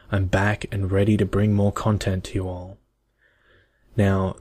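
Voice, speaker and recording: A young man speaking in a soft, measured, soothing ASMR style, as if for a bedtime story. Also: lowish voice, slightly rough, no echo, clean studio sound. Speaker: video creator reading a bedtime story.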